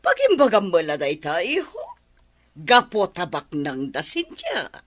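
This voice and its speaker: A woman's voice: lowish voice, huge pitch swings, exaggerated voice. A woman playing an imperious, scheming rich mother in a radio drama, with a Filipino accent and a haughty, commanding manner. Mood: cold, commanding. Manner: haughty, commanding, drawn-out delivery. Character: imperious, scheming wealthy middle-aged mother, cold and domineering.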